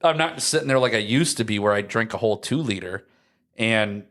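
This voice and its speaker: A man's voice: fairly low pitch, a little fast, fairly bright tone. A young man, chatting casually as a podcast co-host in a rambling, anecdotal, slangy way. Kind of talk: casual chat.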